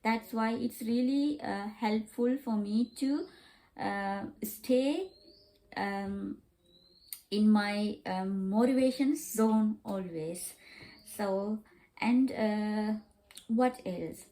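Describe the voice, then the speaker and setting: A woman learning English as a second language, talking casually in a vlog, pausing with many fillers and repeating herself. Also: mid-range voice, warm tone, rough recording. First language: not English.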